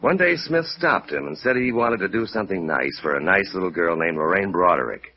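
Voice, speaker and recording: An earnest middle-aged insurance man talks in a fairly low voice with very animated rises and falls. He is sentimental and conversational, in 1950s radio drama style on a muffled old recording. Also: a little fast. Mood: earnest, wistful.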